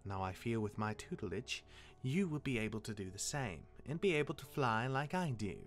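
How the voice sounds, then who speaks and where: A man plays a demigod elder brother in a fantasy audio drama. He teaches in a chatty, patient way with an animated, mid-pitched voice. Soft music sits underneath. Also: a little fast, natural voice. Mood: earnest teaching. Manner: conversational, instructive, hesitant asides.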